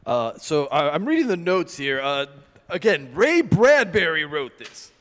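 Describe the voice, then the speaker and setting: Guy as confused script reader in improv parody, halting, bemused, higher voice that moves around a lot. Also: sing-song, a bit bright. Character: quizzical hesitant reader puzzled by the script. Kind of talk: improvised comedy parody of radio play.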